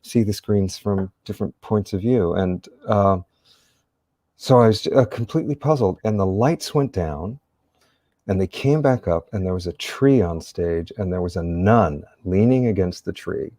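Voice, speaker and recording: Low-voiced older man reminiscing. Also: a little slow, warm tone, no room sound, studio quality. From San Diego, United States. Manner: reflective, rambling with restarts. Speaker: guest, theater actor. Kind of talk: casual interview chat, storytelling.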